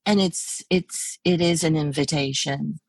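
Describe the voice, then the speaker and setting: A middle-aged woman artist, whose first language is Hungarian, talks reflectively in a podcast interview, animated and full of restarts. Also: low-pitched, unhurried, husky, no echo. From United States. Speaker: guest artist.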